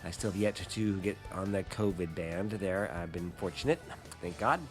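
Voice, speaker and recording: A man's voice: sits low, normal speed, faint music behind. A man introducing his podcast episode in a casual, chatty way, sounding nasal from a cold. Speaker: podcast host.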